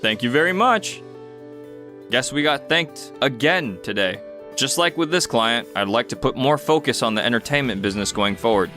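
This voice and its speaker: A man's voice: medium pitch, animated, moderate pace, bright, forceful tone, faint music behind. A man playing a kindly, upbeat young entrepreneur in a manga dub, friendly and encouraging. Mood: friendly surprise. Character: upbeat, kindly young male entrepreneur telling his story. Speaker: narrator and lead character in dub.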